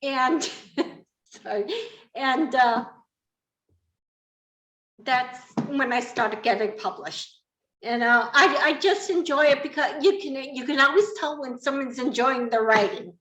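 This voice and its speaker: A woman with a fairly high voice talks casually about herself as a writer on a podcast. Her pace is unhurried and the room is echoey. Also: a bit bright, a little hiss or hum. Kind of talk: casual personal account.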